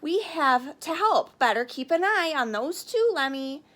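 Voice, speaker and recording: Storybook heroine baker, read by a woman: high, lively, kind, earnest, determined. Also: a little slow, full character voice. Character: earnest, kind winged heroine baker, loyal to her dog.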